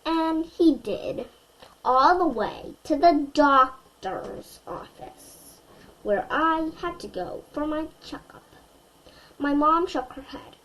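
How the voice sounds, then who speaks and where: A woman reading a children's story aloud expressively and deliberately, as if to young listeners. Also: high-pitched, animated, a bit bright, rough recording.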